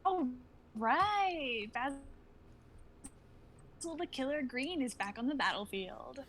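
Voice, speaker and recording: A woman's voice: sits high, expressive, takes it slowly, fairly bright tone, a little hiss or hum, full character voice. A woman playing a blunt, hot-headed soldier in a dramatic reading of a sci-fi script. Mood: wry. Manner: blunt, no-nonsense.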